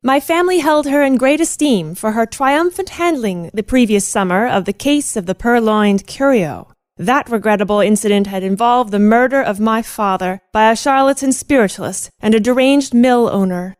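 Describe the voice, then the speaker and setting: Young Victorian lady narrator played by a woman, high and dry-recorded, measured formal storytelling for radio drama. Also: dry recording, close to an everyday voice. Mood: measured, reflective. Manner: measured, formal literary delivery. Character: genteel young female cousin recounting detective tales. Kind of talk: scripted first-person radio play narration.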